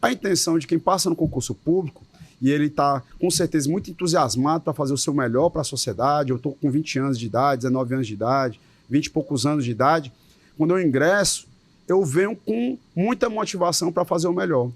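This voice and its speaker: A man with a Cearense accent, a police association leader, argues earnestly in an interview. His voice sits somewhat high, and the sound is dry and close. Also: fairly quick, slightly rough, dry recording. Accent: Cearense Portuguese. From Ceará, Brazil. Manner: earnest, emphatic, with repeated words. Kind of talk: interview answers.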